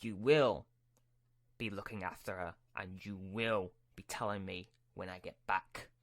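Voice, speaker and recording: A man playing a scheming, imperious Sith lord in a Minecraft roleplay, speaking in halting, deliberate phrases. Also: low-pitched, fairly even intonation, dry recording. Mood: menacing. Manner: halting, deliberate, with drawn-out pauses. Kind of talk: hobby Minecraft roleplay acting.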